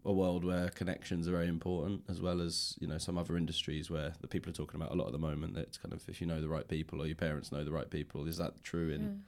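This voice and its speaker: Low-voiced man hosting a casual interview. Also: flat delivery, fast talker, hardly any pauses, a little mellow. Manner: thoughtful, hedging, roundabout. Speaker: interviewer, podcast host. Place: library room.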